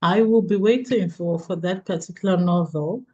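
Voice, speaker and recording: A woman with a South African accent hosting a group discussion in measured phrases with pauses. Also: fairly low pitch, dark tone, slightly rough, highs cut off. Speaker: book club host.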